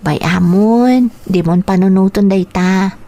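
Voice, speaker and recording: A woman playing a patient, caring elder sister in an Ilocano radio drama, speaking gently and earnestly. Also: medium pitch, slow pace. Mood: earnest, hopeful. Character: patient, caring elder sister minding her younger brother. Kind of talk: Ilocano radio drama acting with narrated inner thoughts.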